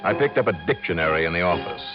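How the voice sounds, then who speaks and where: An actor playing a sharp, impatient investigator in an old radio detective drama, with a wry, clipped delivery. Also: male voice, low voice, lively intonation, fast. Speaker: lead detective and narrator. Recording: dull old radio recording, music behind.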